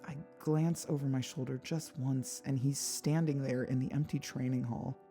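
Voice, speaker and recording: A woman narrating first-person fiction as a dramatic, expressive audiobook reader. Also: a bass voice, moderate pace. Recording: background music behind the voice.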